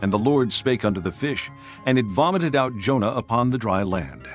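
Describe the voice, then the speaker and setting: A man narrates scripture in a solemn, scripted style. His voice sits in the middle range, with soft music behind it. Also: medium pitch, expressive, normal speed.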